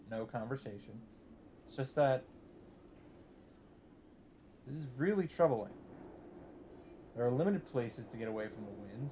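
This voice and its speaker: A man's voice: medium pitch, warm tone, slight background noise. Man reading a visual novel aloud. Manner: reads narration evenly, breaks in with swearing asides. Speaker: let's play host.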